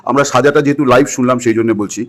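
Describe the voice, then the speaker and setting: A man's voice: medium pitch, fairly even intonation, fast talker. A man conducting a news interview by phone, asking in a probing, pressing manner.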